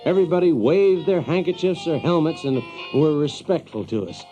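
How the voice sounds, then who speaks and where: A man's voice: a little high, animated, normal speed, warm tone, soft background music, lightly acted. An actor playing a wry, exasperated Yankee man in an audio drama, folksy and hesitant as he talks. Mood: wry storytelling. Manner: folksy, hesitant, chuckling, sardonic. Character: wry, exasperated Yankee man telling his tale in a medieval land. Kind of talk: audio drama acting and first-person storytelling.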